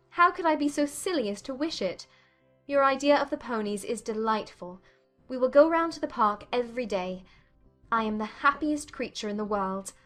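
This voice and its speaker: Woman doing witty young period heroine, highish playful voice, teasing, audiobook drama w music under. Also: sharp tone. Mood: joyful, affectionate. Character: witty, spirited young gentlewoman, teasing and happy.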